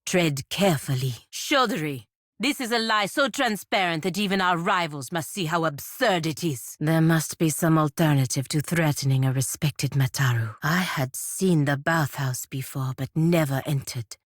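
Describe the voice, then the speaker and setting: An actress voicing a proud, stern, dutiful woman knight and envoy in a video game, speaking in a formal, measured, dry manner. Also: low-pitched, no echo. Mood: stern, warning. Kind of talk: video game character dialogue.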